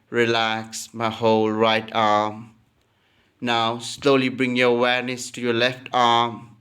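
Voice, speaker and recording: Very slow, smooth-voiced man guiding relaxation. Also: medium pitch. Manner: calm, instructional, repeating phrases. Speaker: yoga nidra teacher. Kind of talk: guided relaxation meditation.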